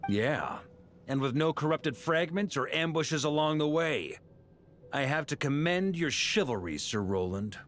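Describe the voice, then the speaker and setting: A man's voice: fairly high pitch, animated, unhurried, fairly bright tone, soft background music. An actor playing a bold knight grandmaster who rallies his troops in a video game. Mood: resolute. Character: bold male knight grandmaster, rallying battle commander.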